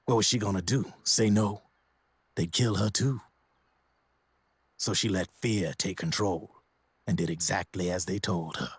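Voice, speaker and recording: An actor playing a streetwise, glib American arms dealer in a video game, with a slangy, theatrical storytelling manner. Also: male voice, sits low, a little slow, long pauses, slightly rough, no echo, heavily acted. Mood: grim storytelling. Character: streetwise, glib arms dealer telling grim war stories. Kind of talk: video game cutscene voice acting.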